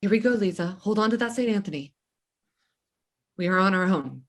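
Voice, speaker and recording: A woman's voice: medium pitch, lively intonation, a little fast. A woman playing a nervous but resolute wartime mother in a stage play reading. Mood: urgent, soothing.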